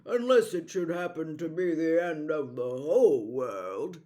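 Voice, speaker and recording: A woman's voice: deep voice, expressive, measured pace, mellow, pitched below the performer's own voice. A dour male marsh creature from a storybook, acted out by a woman reader. The voice drops well below a female register and plods along glumly. Every phrase sounds resigned to the worst. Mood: wary, dour. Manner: dour, plodding, predicts the worst. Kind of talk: audiobook character voice.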